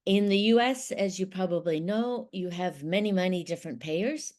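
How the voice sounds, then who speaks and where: A woman's voice: lowish voice, moderate pace, a little mellow. A middle-aged woman from Ohio, explaining things methodically as an interview guest. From Ohio, United States. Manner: explanatory, methodical, occasional restarts. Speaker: interview guest, business consultant. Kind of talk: conversational interview.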